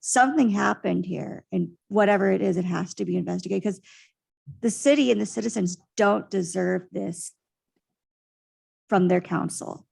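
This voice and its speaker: Local resident, female, soft and raspy, earnest public comment. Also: medium pitch, moderate pace. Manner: earnest, pointed criticism.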